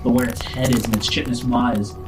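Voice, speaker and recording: Male game master, average pitch, improvised descriptive storytelling, music clearly underneath. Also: flat delivery, fast, rough recording. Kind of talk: improvised tabletop game narration.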